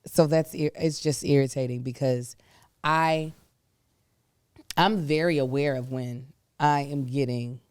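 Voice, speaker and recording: A woman podcast co-host talking casually with a hoarse voice, candid and exasperated. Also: deep voice, a little mellow, no echo. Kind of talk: casual chat.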